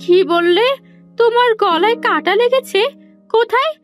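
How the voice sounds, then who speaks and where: A woman's voice: extremely high pitch, normal speed, faint music behind. A woman playing a foolish, earnest young village wife in a cartoon, asking things in a naive, literal-minded way. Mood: naive, puzzled. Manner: naive, wide-eyed questioning. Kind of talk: cartoon voice acting.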